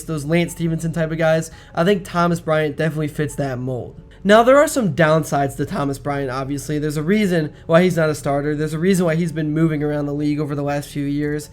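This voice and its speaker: A hoarse man giving casual, opinionated basketball commentary as host of his own sports channel. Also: fairly high pitch. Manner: opinionated and energetic.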